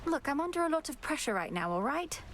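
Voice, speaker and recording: A woman's voice: sits high, very animated, quick pace, a bit bright, slight background noise. A woman playing a determined young witch princess in a video game, formal and earnest. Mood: exasperated. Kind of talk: video game character acting. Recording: music behind the voice.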